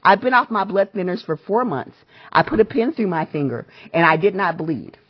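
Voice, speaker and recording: A woman with a husky voice speaks as a patient in a confrontational phone call. She is insistent and demanding, circling back to the same questions. The recording is dull and lo-fi. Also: fairly low pitch, lively intonation, moderate pace. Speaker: patient calling a medical provider.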